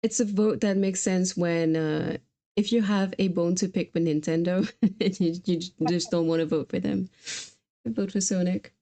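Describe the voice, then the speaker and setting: A woman's voice: lowish voice, steady tone, moderate pace, warm tone. A woman hosting a game stream in a casual, chatty style. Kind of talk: casual chat.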